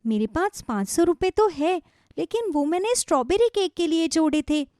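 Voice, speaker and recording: A woman's voice: very high-pitched, expressive, normal speed, warm tone, dry recording, clean studio sound, pitched above the performer's own voice. A woman voicing a kind, eager little girl in a children's story, polite and earnest. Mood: worried, torn.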